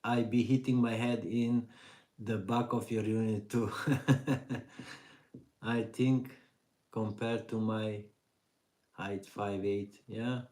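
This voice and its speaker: A middle-aged man from Hungary with a Hungarian accent, hosting a relaxed live Q&A for his vanlife channel and answering chat questions with many fillers. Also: lowish voice. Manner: slow, relaxed, pauses with fillers. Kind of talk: live stream Q&A reading chat.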